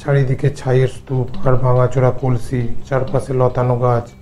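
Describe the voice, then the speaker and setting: A male narrator reading a scripted horror tale. His voice is mid-pitched and bright, with little variation in pitch, over faint music. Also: level tone, normal speed.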